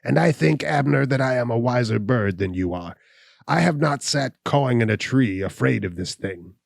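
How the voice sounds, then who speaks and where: Scornful old hunchbacked landowner, read by a man, slow drawn-out sneer, gravelly, close dry recording. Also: medium pitch, animated, dry recording. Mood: defiant.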